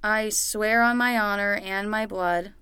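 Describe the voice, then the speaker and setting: A woman's voice: mid-range voice, steady tone, unhurried, pushed and bright, clean tone, dry recording, clean studio sound. A woman playing a young summer nanny, patient and wry, talking casually and frankly in a naturalistic fiction podcast. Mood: solemn.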